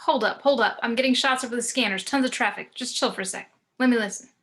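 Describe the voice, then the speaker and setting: A woman acting an alert lookout in a crime drama, talking fast and tensely. Her voice is slightly high and brisk. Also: fairly bright tone. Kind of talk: script table read acting.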